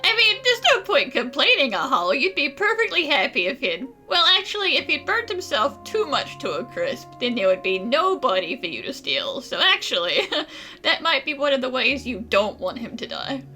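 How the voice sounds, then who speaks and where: A woman's voice: high voice, big pitch swings, normal speed, bright, forceful tone, soft background music. A woman giving casual commentary over a video game as she plays. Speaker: gaming streamer.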